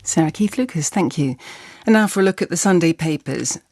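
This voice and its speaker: A woman's voice: lowish voice, animated, a little fast, darkish tone, no echo. A woman with a British accent reading a formal, scripted radio news bulletin as newsreader. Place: dry broadcast radio studio.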